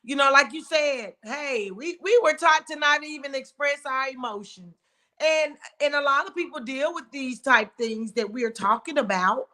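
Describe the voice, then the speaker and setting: A woman hosting a casual talk show, speaking with a big, emphatic voice. Her tone sits high and comes out bright and sharp. She talks in an outspoken, open way. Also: normal speed. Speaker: host. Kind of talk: casual chat.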